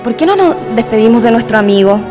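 Actress as a polite young woman, high-pitched. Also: lively intonation, fast, natural voice. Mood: polite, interested. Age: young adult. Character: polite, inquisitive young woman visiting historic site. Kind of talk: radio drama acting. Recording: long reverb, music behind.

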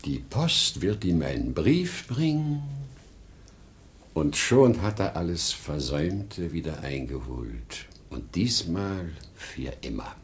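A man's voice: low-pitched, big pitch swings, takes it slowly, heavily acted. An actor playing a weary, dreaming salesman in his sixties in a 1950s German radio drama, theatrical and lingering in delivery. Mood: dreamy, elated. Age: 60s. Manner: theatrical, lingering, swinging in volume. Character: weary, dreaming ageing salesman at life's end.

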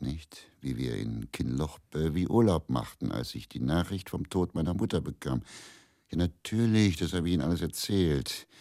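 An actor in a radio drama monologue, playing a brooding, self-absorbed, worn middle-aged faith healer, musing in measured, incantatory phrases with a gravelly voice. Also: male voice, darkish tone, no echo, studio quality, heavily acted. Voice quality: very deep, gravelly.

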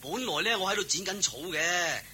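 Simple young farmhand, Hong Kong Cantonese, piercingly bright, excitable, playful, stammering, radio drama, hissy old recording. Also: male voice, high voice, normal speed, full character voice. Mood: sheepish, apologetic. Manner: excitable, stammering, deferential chatter. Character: simple, playful, eager young male farm servant.

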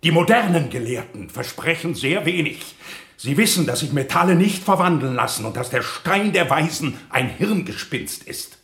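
A fervent male professor, declaims like a visionary to his students. The voice sits fairly high and moves dramatically, solemn and rousing in an echoing room. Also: animated, normal speed, vocal fry, fairly bright tone. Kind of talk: declaimed lecture in radio drama. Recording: echoing hall acoustic.

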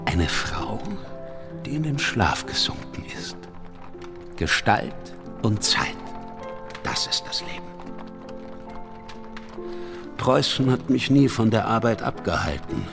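A male actor playing a proud, melancholy old painter in a radio play monologue, terse and brooding, with a gravelly voice. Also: on the low side, big pitch swings, measured pace, exaggerated voice. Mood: proud, bitter. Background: music.

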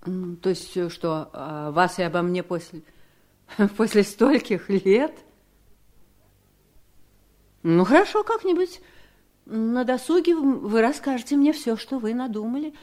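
An actress playing a scheming, coolly polite wife in a radio play, speaking with measured, pointed irony. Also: female voice, fairly high pitch, animated, a little mellow. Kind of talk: 1970s Soviet radio play acting.

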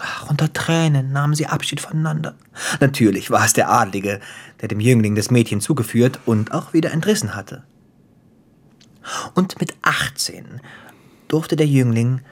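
A man acting in a German radio play as a cruel, gleeful crime boss, theatrical and taunting, with a husky voice that drops into whispers. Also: mid-range voice. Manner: theatrical, taunting, savoring storyteller.

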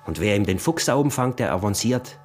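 Male actor doing deep-voiced austrian peasant smuggler, sly scheming husband, pushy, old-time village radio play. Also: expressive, fast, slight background noise, natural voice. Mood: agitated, suspicious. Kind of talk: radio drama acting in dialect.

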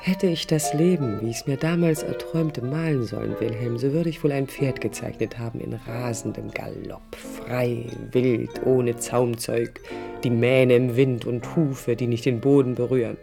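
Young woman architect, disillusioned, low husky creaky voice, reflective radio monologue, faint music behind. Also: fairly even intonation, unhurried, exaggerated voice. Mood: impassioned. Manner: reflective, intimate, literary. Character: disillusioned young woman architect recalling her failed marriage. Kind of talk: dramatic monologue in radio play.

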